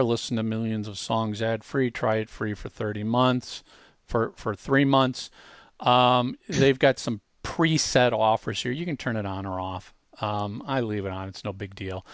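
A man hosting a casual software walkthrough, explaining informally and speaking straight to the listener. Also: medium pitch, clear, resonant tone, dry recording, studio quality. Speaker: host demonstrating software.

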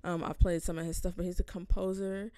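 A woman co-hosting a podcast, casually presenting her segment with a halting, hesitant delivery. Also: on the low side, level tone, mellow, no echo, studio quality. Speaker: podcast co-host.

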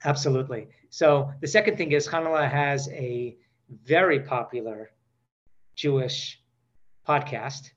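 A man's voice: mid-range voice, unhurried, a bit bright, lo-fi sound. A man in his forties hosting a podcast, bantering in a joking, self-deprecating interview style. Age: 40s. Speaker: podcast host.

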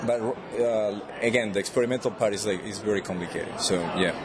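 A man's voice: fairly low pitch, brisk, a crowd in the background. A young man from Venezuela with a Venezuelan Spanish accent, a PhD student explaining his research in an interview, hesitant with many restarts and fillers.

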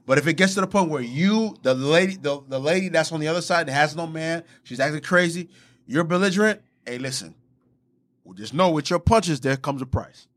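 A man's voice: on the high side, a little fast, a bit bright. A man bantering as a podcast host in a casual, combative, profane and emphatic way, often repeating himself. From Haitian background.

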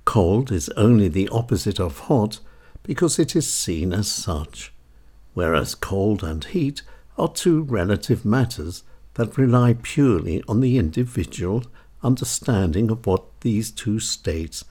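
A man reading a scripted essay in a measured, deliberate way, pausing often between phrases. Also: lowish voice, expressive, darkish tone, breathy edge. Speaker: essayist reading own work.

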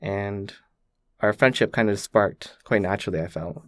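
A young man from Canada, thoughtfully telling his own story as a podcast guest, with many pauses and "like" fillers. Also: on the low side, no room sound. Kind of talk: reflective personal storytelling.

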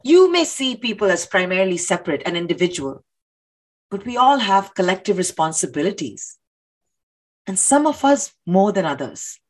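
A woman's voice: medium pitch, very animated, normal speed. An actress playing an earnest, intellectual woman who challenges authority, speaking articulately and emphatically in dramatic dialogue. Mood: pointed, argumentative. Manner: articulate, emphatic, rhetorical questioning. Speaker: actor in a stage play reading.

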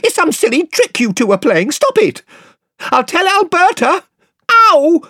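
A man voicing a frightened, bad-tempered schoolboy in a squeaky, petulant voice for a storybook. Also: big pitch swings, a little slow, bright, forceful tone, dry recording, clean studio sound, exaggerated voice. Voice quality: squeaky, shrill. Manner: petulant, panicky outbursts. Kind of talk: audiobook character voice.

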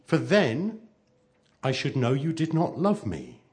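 A man voicing a gentle, anxious mother in a storybook, speaking tenderly, earnestly and sadly. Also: mid-range voice, huge pitch swings, slow, voice pushed up.

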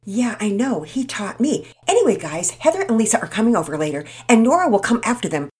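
A woman doing a fast-chattering girl. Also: high-pitched, huge pitch swings, voice pushed up, heavily acted. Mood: chatty. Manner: rapid, chattering. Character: fast-talking cheerful girl.

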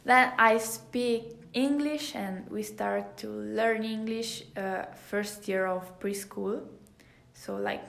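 A teenage Italian girl answers interview questions in casual, accented English, her second language. She speaks slowly and haltingly, searching for the right word. The room adds a noticeable echo to her voice. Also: mid-range voice, steady tone, creaky, a bit bright. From Italy. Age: teenager, high school student. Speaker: podcast guest, exchange student. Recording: roomy echo on the voice.

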